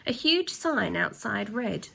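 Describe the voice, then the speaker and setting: Lady reading a kids book out loud, dramatic, bit of fry in the voice, asks the listeners stuff. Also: mid-range voice, big pitch swings, slow pace. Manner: dramatic emphasis, questioning listeners. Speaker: teacher reading a children's book aloud. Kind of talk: expressive storytime narration with asides to children.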